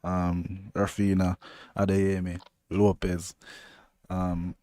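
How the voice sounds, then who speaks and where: A man's voice: low voice, completely flat delivery, slow, warm tone, no room sound, clean studio sound. A young man with a Jamaican accent co-hosting a podcast, talking football in a laid-back, rambling way. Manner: laid-back, rambling, loose patois phrasing. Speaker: podcast co-host. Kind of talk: casual football chat.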